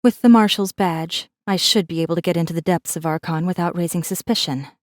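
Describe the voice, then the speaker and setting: A woman's voice: fairly low pitch, brisk, dry recording, clean studio sound, lightly acted. A woman voicing a wry, guarded rebel messenger who narrates a space fantasy audiobook in a controlled, intimate way. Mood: wry, determined. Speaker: audiobook narrator in first person. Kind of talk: scripted dramatic narration.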